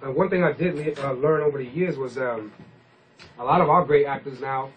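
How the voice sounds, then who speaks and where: A man's voice: medium pitch, brisk, highs cut off, slight background noise. A man hosting a casual hip-hop radio interview, rambling informally and using slang. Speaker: radio host.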